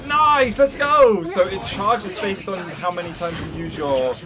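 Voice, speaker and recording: A man giving excitable gameplay commentary who cheers his character on as he plays. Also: high voice, measured pace. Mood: excited. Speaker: gaming streamer. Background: game music and sounds.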